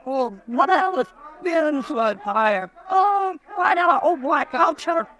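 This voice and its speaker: An actor playing an excitable old frontier doctor in a 1950s radio drama, chuckling and rambling. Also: male voice, very high voice, takes it slowly, heavily acted. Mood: excited. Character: cackling old frontier town doctor, gossipy. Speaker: town doctor.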